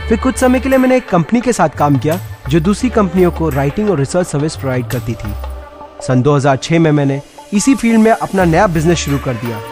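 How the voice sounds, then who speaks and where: A man's voice: on the high side, animated, fairly quick, natural voice. A man reading the life story of a determined businessman as a scripted first-person narration, with music behind. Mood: earnest, resolved. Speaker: presenter reading a profile.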